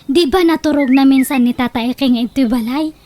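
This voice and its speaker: Woman acting high-voiced naive young village woman. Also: a little fast, dark tone, close to an everyday voice. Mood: tearful, sheepish. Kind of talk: comedy radio drama acting in Ilocano.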